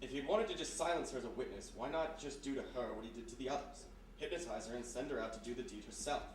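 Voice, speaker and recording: A man playing a quick-thinking, commanding private detective in a period radio mystery, forceful and analytical. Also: medium pitch, close to an everyday voice. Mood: reasoning. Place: live performance in a historic house. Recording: echoey live stage, distant, faint noise.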